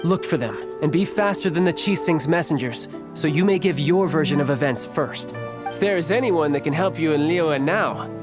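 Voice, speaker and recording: A man's voice: a little high, animated, moderate pace, lightly acted. An actor playing a breezy, self-assured young male envoy of a villainous faction in a video game, slyly polite and teasing. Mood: sly, serious. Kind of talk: English video game voice acting. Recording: game music playing behind.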